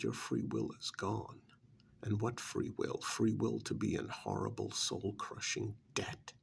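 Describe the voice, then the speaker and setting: A man playing a smug, persuasive vampire's familiar in an ASMR role-play, coaxing like a sardonic salesman in a breathy, gravelly, often whispered voice. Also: exaggerated voice. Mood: mocking, cynical. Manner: coaxing, sardonic sales pitch with drawn-out emphasis.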